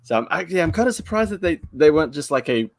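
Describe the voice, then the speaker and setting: A man's voice: a little high, big pitch swings, fast talker, no room sound. A man giving a casual review as a panelist, hesitant and often repeating his words. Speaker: audio drama review panelist.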